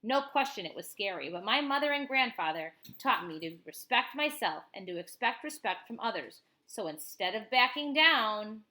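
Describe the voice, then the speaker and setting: A woman's voice: medium pitch, expressive, normal speed, hardly any pauses, pushed and bright. A woman teacher reading a picture book aloud expressively, with informal explanatory asides to children.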